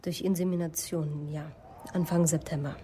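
An actress in a German radio drama playing a distraught, defensive young woman, emotional and halting in delivery. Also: low voice, flat delivery, warm tone, a little hiss or hum, lightly acted. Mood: subdued confession.

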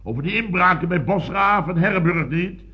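Excitable, pompous male boss for radio comedy; sputtering delivery, big pitch swings, muffled old recording. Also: brisk. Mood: indignant. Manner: sputtering, repetitive, pompous, exclaiming. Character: blustering, excitable, self-important male boss of building firm. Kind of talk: radio comedy serial acting. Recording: dull band-limited old radio recording.

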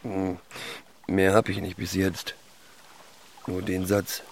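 Deep, gravelly, pensive youth. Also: male voice, level tone, slow pace, slight background noise, heavily acted. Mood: musing. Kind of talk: naturalistic radio play dialogue.

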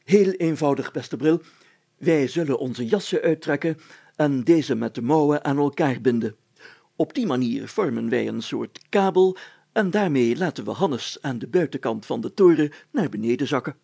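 A man's voice: medium pitch, animated, moderate pace, gravelly, dark tone, breathy edge. A man voicing a proud, bossy village mayor in a story, with a pompous, commanding way of talking.

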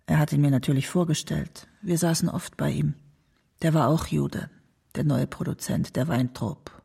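A man's voice: fairly high pitch, fairly even intonation, moderate pace, vocal fry, dark tone, breathy edge, full character voice. An actor playing a nostalgic man who recalls a troubled friend in a reflective, conversational radio monologue, caring but disapproving. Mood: reminiscing. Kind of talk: radio documentary drama monologue.

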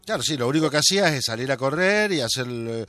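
A man hosting a casual radio interview, putting in frequent quick agreements and questions. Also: mid-range voice, expressive, bright, forceful tone, no echo, faint music behind. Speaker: radio host.

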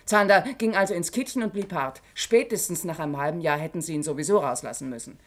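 An actress playing an outspoken senior female prosecutor in a German radio play, arguing forcefully and with biting scorn. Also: low voice, fast talker. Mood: scornful, heated. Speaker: actor.

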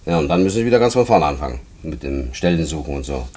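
A man's voice: extremely low pitch, animated, fast, warm tone, a little hiss or hum. An actor playing a matter-of-fact male prison inspector in a German radio play, talking casually and condescendingly in coaxing questions.

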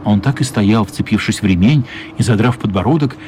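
A man narrating a children's radio play as scripted storytelling, measured and expressive, with pauses. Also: on the low side, noisy background. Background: music.

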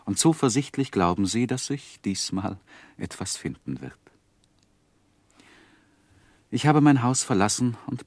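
A deep-voiced, sorrowful gentleman looks back on his life. His delivery is measured and solemn, like literary radio drama, with a dry, close sound. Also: no room sound. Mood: subdued, reflective. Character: grieving, reflective gentleman narrating his life story. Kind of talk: literary radio play narration.

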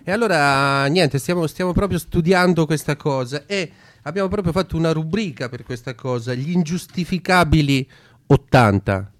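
A man hosting a casual radio show in a halting, hesitant way. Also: fairly high pitch.

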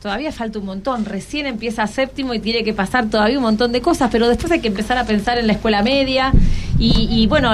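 A woman with an Argentine Rioplatense accent hosting a casual interview, encouraging her guests with many questions. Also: on the high side. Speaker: radio host interviewing schoolchildren. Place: radio programme with schoolchildren present. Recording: noisy live radio with clear ambient noise.

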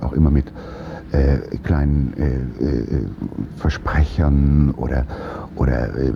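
A man with an extremely low voice reflects in an interview. He is thoughtful and often hesitates as he looks for the right word. The sound is somewhat dull, with faint noise. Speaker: stage actor.

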